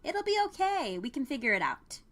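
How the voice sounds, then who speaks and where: A woman's voice: high voice, very animated, brisk, a bit bright, pitched above the performer's own voice. A woman voicing a perky, kind small-town woman in an audio fan fiction drama, upbeat and playfully placating. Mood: apologetic, placating.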